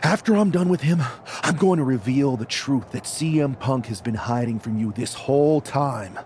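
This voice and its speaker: An actor playing a treacherous, power-hungry wrestling villain in a game cutscene, with menacing, theatrical taunts. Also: male voice, medium pitch, normal speed, darkish tone, slight background noise, lightly acted. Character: treacherous, power-hungry young male wrestling villain leading a rebel faction.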